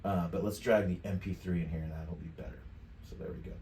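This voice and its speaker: A middle-aged man giving a casual step-by-step software tutorial, thinking aloud as he works. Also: deep voice, steady tone, fast. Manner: informal, thinking aloud while clicking. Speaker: tutorial presenter. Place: indoors, home studio room at a computer desk. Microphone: USB microphone at some distance from the mouth. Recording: echoey room with clear background noise.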